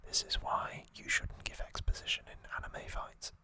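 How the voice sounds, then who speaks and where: An ASMR host speaking in a gentle, halting, unvoiced whisper throughout. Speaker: host of an anime trivia channel.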